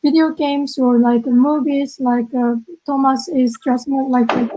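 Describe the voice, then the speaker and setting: Young korean woman co-hosting an online event, high voice, mellow tone, halting english with lots of uh's. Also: level tone, poor audio. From South Korea. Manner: halting, many fillers. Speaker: event co-host.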